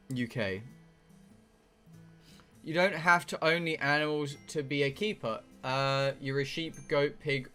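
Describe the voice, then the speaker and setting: Bright-voiced British man chatting on stream. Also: medium pitch. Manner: blunt, sweary, joking. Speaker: live streamer playing a visual novel. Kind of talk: casual rambling chat with viewers.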